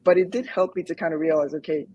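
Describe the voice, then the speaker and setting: A woman's voice: low-pitched, fairly even intonation, fast, warm tone, muffled recording, a little hiss or hum. A woman in her thirties from Croatia, chatting casually as an interview guest, hesitant with many fillers and restarts. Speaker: guest, UX design graduate.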